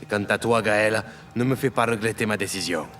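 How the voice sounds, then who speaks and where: Un acteur joue un chef de famille sévère et intimidant, autoritaire et solennel, dans une fiction audio. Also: male voice, fairly low pitch, brisk, fairly bright tone, soft background music, lightly acted. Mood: cold, stern. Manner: authoritative, cold, formal. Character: stern, intimidating adult male head of a clandestine family.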